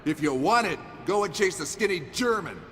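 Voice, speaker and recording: An actor playing a cocky, wisecracking adventurer hero with an American accent and a defiant, dry manner in a video game. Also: male voice, high-pitched, expressive, brisk, slight background noise. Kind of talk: video game cutscene acting. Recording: loud music behind.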